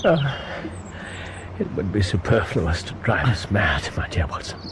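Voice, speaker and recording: An actor playing an eccentric, proud English gentleman detective in a radio drama, formal and halting in his speech. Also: low-pitched, very animated, background noise. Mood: wry, recovering. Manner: formal, theatrical.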